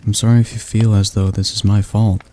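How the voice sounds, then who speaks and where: A gentle male demon tutor, voiced by a man in an ASMR roleplay, his tone dark and mellow. He talks softly and slowly, reassuring throughout, with a slight stutter. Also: on the low side, level tone, close to an everyday voice. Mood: soothing. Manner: soft, slow, soothing delivery.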